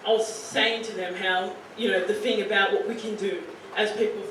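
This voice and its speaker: A young Australian woman in her late teens, speaking earnestly and casually to high school students, with many fillers like "you know" and "like.". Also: fairly even intonation, normal speed, fairly bright tone. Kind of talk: informal talk to a student audience. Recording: very echoey room, picked up from far off, faint hiss.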